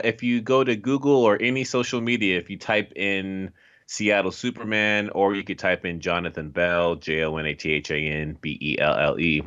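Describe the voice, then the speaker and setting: Male interview guest, low but bright voice. Casual, halting delivery; lo-fi audio. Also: clear, resonant tone. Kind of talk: casual chat. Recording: dull, lo-fi voice track.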